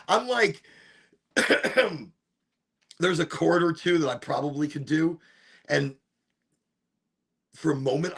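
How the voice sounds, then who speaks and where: A man with a deep, gravelly voice and a husky edge hosts a relaxed solo session. He rambles loosely from one tangent to the next. His pitch rises and falls in lively swings. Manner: rambling, wanders off topic, breaks into off-key singing. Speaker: host of a smoke session. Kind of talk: rambling casual monologue.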